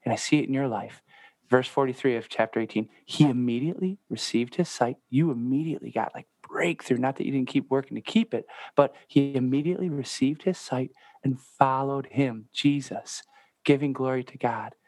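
A man with a husky, somewhat breathy voice, recorded dry and close with no room sound. He is a priest co-hosting a faith podcast. He encourages his guest warmly and slows down to stress the scripture he quotes. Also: mid-range voice, no echo. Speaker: priest, co-host. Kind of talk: casual podcast chat.

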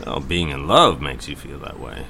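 A gentle father in middle age, played by a male actor, with a very low voice that moves in lively swings. He is concerned and halting as he talks, in an audio drama with faint noise behind him. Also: gravelly, heavily acted. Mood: gently probing. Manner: hesitant, halting, thoughtful.

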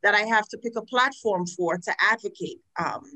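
A woman's voice: medium pitch, moderate pace. Frank woman from Trinidad telling her story. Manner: frank, earnest storytelling with animated quoted asides. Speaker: guest, domestic violence advocate. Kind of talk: personal testimony in a podcast interview.

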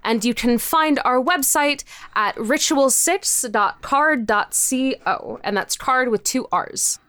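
Smooth, clear-voiced woman answering thoughtfully. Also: sits high, takes it slowly, fairly bright tone, no echo. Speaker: interview guest, indie audio drama creator. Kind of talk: casual conversational interview answers.

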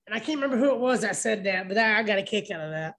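A man's voice: high-pitched, animated, very fast, a bit bright. A man in his late thirties talking casually as a podcast co-host while watching wrestling. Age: late 30s.

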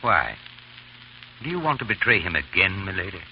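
A man playing a loyal, earnest gentleman comrade with a clipped manner in a 1930s radio drama. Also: low-pitched, very animated, normal speed. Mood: suspicious. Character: loyal gentleman comrade, suspicious then resolute. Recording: dull, faintly noisy 1930s radio recording.

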